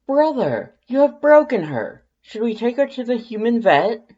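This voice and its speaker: A reader voicing a loud, excitable male skeleton with a grandiose, theatrical manner in a fan fiction audiobook. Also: mid-range voice, measured pace, lo-fi sound, voice pushed up. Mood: alarmed, booming.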